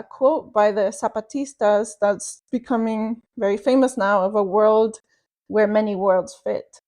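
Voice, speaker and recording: A woman's voice: on the high side, dark tone. A woman from Venezuela, a researcher guest on a podcast, giving a long reflective answer with many fillers and restarts.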